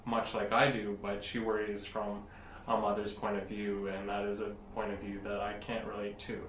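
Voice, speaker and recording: A young man speaking in a low, fairly flat voice. He talks earnestly and wanders as he searches for words. He sounds a little distant from the mic, in an echoey room with faint background noise. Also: moderate pace. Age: 20s. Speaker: interviewee, blogger. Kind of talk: casual interview.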